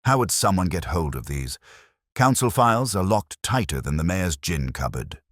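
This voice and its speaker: A man's voice: low-pitched, big pitch swings, normal speed, a bit bright, no echo, studio quality, full character voice. A man voicing an eager, deferential police sergeant, a puzzled sidekick who asks questions in a detective story.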